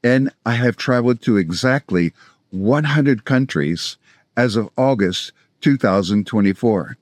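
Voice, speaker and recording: A man in his sixties from South Florida with an American accent, presenting scripted language-learning instruction in a measured, explanatory way. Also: mid-range voice, lively intonation, darkish tone, dry recording. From South Florida, United States. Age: 60s. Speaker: language-learning video host, polyglot. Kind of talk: scripted instructional narration to camera.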